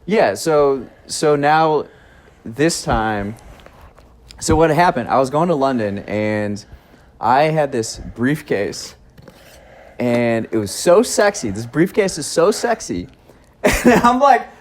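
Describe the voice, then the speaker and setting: A man's voice: mid-range voice, lively intonation, slow pace. Rambling, chuckling man podcaster. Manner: rambling storyteller with frequent restarts and laughs. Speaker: podcast host. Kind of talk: casual chat. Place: cousin's home garage. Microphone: phone in a chest pocket.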